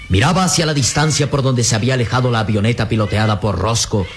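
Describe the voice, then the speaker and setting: An actor playing a solemn adventure storyteller, narrating a radio drama emphatically. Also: male voice, medium pitch, fast, slight background noise, natural voice. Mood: grave, dramatic. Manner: grave, emphatic, florid storytelling delivery.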